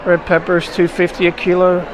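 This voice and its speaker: A man's voice: high voice, monotone, moderate pace, a crowd in the background, rough recording. A man hosting a vlog, chatting casually as he shops at an outdoor street market. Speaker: vlog host. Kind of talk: casual chat while shopping. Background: street and market noise.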